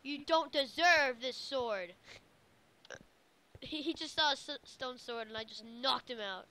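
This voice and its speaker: A woman's voice: high-pitched, measured pace, bright, forceful tone. A young woman chatting casually while playing a video game, as host of a gaming video. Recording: clicky, noisy home gaming recording.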